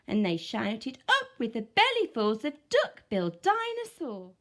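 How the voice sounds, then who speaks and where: A woman voicing rowdy, bloodthirsty young tyrannosaurus sisters who shout and jeer. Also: a little high, wildly swooping pitch, a little slow, exaggerated voice. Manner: shouting and jeering.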